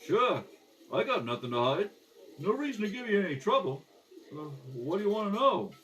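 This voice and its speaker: A man playing a defensive, evasive ex-convict fry cook in an amateur radio crime drama. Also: sits high, sing-song, normal speed, fairly bright tone. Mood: wary. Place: at a kitchen table. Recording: slightly distant home microphone.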